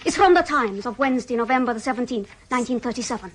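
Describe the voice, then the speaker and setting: Female Soviet researcher, high voice, precise, formal, quietly confident, British radio play. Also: animated, quick pace. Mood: deferential. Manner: formal, self-assured. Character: crisp, dutiful Soviet female research officer. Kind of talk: British radio drama acting.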